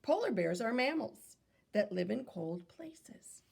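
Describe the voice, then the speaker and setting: Expressive woman reading aloud, very creaky voice. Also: fairly high pitch, slow. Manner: instructive, posing questions to young listeners. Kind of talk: children's read-aloud with questions and asides.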